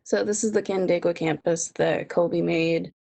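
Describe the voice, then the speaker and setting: Young woman with heavy vocal fry. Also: fairly low pitch, steady tone, normal speed, mellow. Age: young adult. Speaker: student presenter. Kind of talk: slide presentation.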